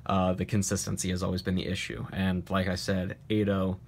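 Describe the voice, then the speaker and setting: A man's voice: sits low, monotone, a little fast. A man hosting a casual card game deck profile with a stuffy, nasal voice from a cold. He talks confidently and emphatically, with frequent "uh" and "um". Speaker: card game channel host.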